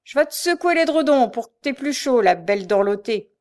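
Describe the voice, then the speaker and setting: A cruel old beggar woman from a dramatic novel, acted out by a woman narrator. Her speech is coarse and spiteful, full of sneers and scolding. The voice rides high and forceful, always close to rage. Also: expressive, moderate pace. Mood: sneering menace. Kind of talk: dramatic audiobook character voice.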